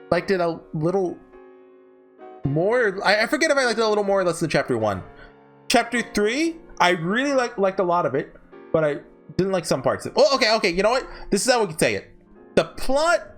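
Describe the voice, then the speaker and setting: A man giving casual, rambling commentary as a video host, informal and hesitant, often correcting himself. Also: on the high side. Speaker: video host reviewing visual novels.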